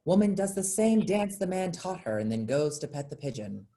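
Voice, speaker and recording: A woman's voice: fairly low pitch, very animated, moderate pace, mellow. A woman reading scripted stage directions as narration for a play.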